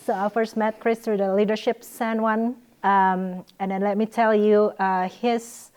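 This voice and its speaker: A woman's voice: mid-range voice, little pitch movement, unhurried, darkish tone, smooth. A woman from Indonesia, speaking, co-hosting with a hesitant delivery full of fillers and restarts. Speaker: co-host.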